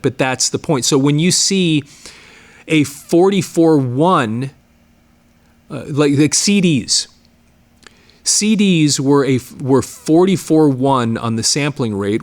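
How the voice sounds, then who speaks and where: A middle-aged man hosting his own channel, explaining audio in a rambling, casual way, full of restarts and repeated words. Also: on the high side. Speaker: channel host, media digitizing studio owner. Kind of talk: casual explanatory talk to camera.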